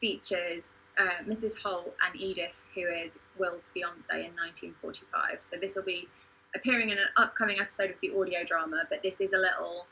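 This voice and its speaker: A woman hosting a livestream discussion in an earnest, measured way. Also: on the low side, fairly even intonation, pushed and bright, slight background noise, rough recording. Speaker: podcast host, theatre company member.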